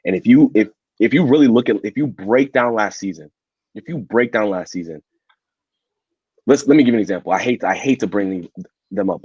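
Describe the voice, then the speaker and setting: Man, low husky voice, blunt emphatic football pundit, dull dry recording. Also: a little mellow, no room sound. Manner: emphatic and blunt, repeats words as he builds a point. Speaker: podcast co-host. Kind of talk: casual football debate. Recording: dull, muffled audio.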